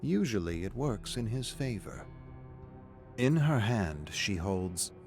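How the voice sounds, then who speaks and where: A suave, sinister storyteller for a video game, with a fairly low voice. The phrasing is measured and theatrical, savoring a vain killer's dark thoughts. Soft music plays underneath. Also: male voice, very animated, exaggerated voice. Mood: tense, menacing. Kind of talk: scripted dramatic story narration. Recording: music behind the narration.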